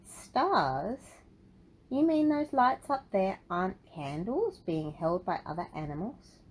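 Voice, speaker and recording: A woman's voice: mid-range voice, sing-song, slow, slightly rough, full character voice, low-quality recording. A woman as a wistful little storybook tortoise. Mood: puzzled, crestfallen. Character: lonely, wistful little male tortoise, hopeful dreamer.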